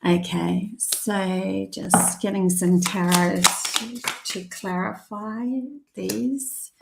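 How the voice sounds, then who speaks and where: A woman's voice: on the low side, gravelly, reverberant, very noisy. A woman from New Zealand with a mixed New Zealand and Australian accent, giving a live tarot reading in a rambling, hesitant way with many ums. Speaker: tarot reader.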